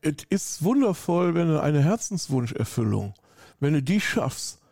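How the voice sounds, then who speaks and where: A man's voice: on the high side, very animated, a little slow, creaky, darkish tone, no echo, clean studio sound. A middle-aged man with a Rhineland German accent, talking effusively and rambling with many fillers as an interview guest. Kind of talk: casual interview.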